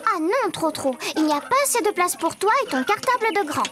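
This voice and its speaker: A woman voicing a cheerful little girl friend in a children's cartoon, lively and full of exclamations. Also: very high-pitched, brisk. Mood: refusing. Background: children's cartoon music.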